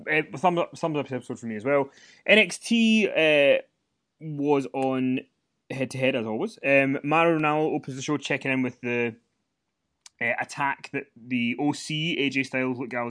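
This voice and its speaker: A man's voice: mid-range voice, fairly bright tone. Rambling man recapping wrestling. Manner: rambling, many fillers and restarts. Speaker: podcast co-host. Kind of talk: casual wrestling recap chat. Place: hotel room.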